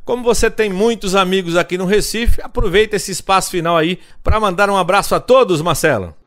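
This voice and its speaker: A man's voice: high voice, a little fast, fairly bright tone. A man hosting as a sports reporter, asking direct, informal interview questions. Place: Recife, Brazil.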